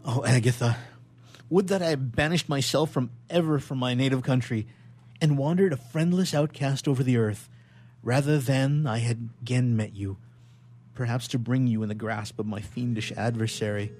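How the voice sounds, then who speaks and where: A man's voice: mid-range voice, moderate pace, darkish tone, soft background music, lightly acted. A man playing a haunted, guilt-ridden young scientist in an old melodramatic radio play, with declamatory archaic diction. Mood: anxious, tender.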